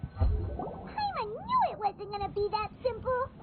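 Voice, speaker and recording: A woman voicing a small, excitable fairy companion girl with a squeaky, childlike voice in an anime game. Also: a little slow, full character voice. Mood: concerned. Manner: refers to self in third person, exclamatory. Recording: phone-like narrow band, music behind.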